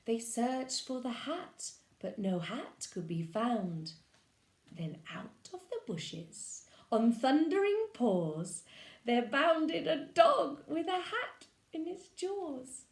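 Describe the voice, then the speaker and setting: Brief: storyteller woman, high and lively, unhurried, echoey room. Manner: animated, lively expression. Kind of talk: reading a children's picture book aloud.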